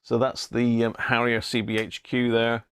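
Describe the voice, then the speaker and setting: A man's voice: medium pitch, monotone, normal speed, fairly bright tone, smooth, no echo. A British man hosting a casual hobby livestream, rambling and thinking aloud with chummy asides to viewers. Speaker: livestream host, CB radio hobbyist. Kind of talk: casual live chat to viewers. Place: indoor radio room.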